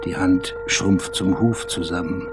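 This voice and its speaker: A man narrating a radio play with literary, scripted text in a measured, essayistic and dryly ironic manner. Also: low-pitched, steady tone, over loud music.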